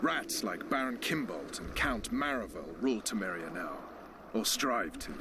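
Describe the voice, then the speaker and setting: An actor playing a gruff, blunt military commander in a fantasy video game. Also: male voice, on the low side, animated, moderate pace, background noise. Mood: businesslike. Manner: blunt, emphatic. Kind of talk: dramatic game dialogue.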